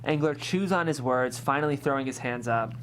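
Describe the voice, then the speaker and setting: A woman's voice: rumbling low, brisk, a little hiss or hum. A woman reading a screenplay's scene directions as scripted narration at a table read. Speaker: stage-direction reader.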